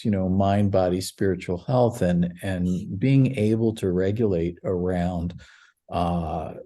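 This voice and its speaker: Mellow low-voiced man co-host. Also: breathy edge. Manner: rambling, warm, laughs between words. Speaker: podcast co-host. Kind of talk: casual conversational podcast.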